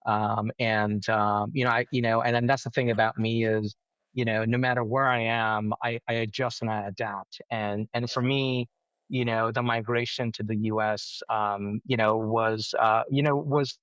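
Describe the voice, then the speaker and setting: A middle-aged man from India answering interview questions conversationally, haltingly, with many repeated words and filler phrases. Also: fairly low pitch, fairly even intonation, fairly bright tone, no echo, lo-fi sound. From India, raised in Mumbai. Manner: halting, frequent "you know" and repeated words. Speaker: interview guest, cybersecurity professional.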